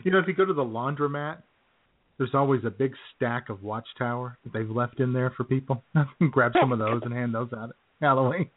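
A man's voice: mid-range voice, a little fast. A man co-hosting a comedy radio show in casual, sardonic banter with crude humour. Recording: narrow phone-like audio.

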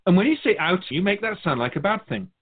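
A man playing a wry, lively spirit keeper. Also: sits high, narrow, tinny audio. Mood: teasing. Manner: measured, teasing, rhetorical questions. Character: wise, wry otherworldly male keeper of souls. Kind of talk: scripted philosophical dialogue.

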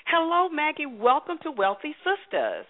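A woman's voice: high-pitched, wildly swooping pitch, normal speed. A woman hosting an interview show, chatty with many fillers, heard over the phone. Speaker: host. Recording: phone line, narrow band.

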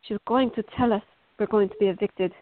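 A woman's voice: mid-range voice, brisk, no room sound, natural voice. A woman acting a fiery, stubborn, sarcastic teenage girl in a radio drama. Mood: anxious. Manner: headstrong, sarcastic. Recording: muffled, phone-like narrow-band audio.

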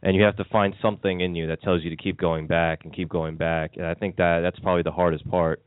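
A male guest comedian with a very low voice, answering earnestly and halting often. The sound is dry and narrow, like a phone call. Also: flat delivery, clear, resonant tone, no room sound. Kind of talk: casual interview.

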